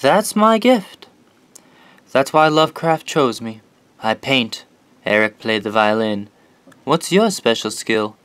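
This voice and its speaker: An actor playing an eager, devoted young painter and asylum patient, informal and fervent, in an audio horror drama. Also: male voice, mid-range voice, expressive, slow pace, pauses often, fairly bright tone, clear, resonant tone, no echo. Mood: fervent, awed.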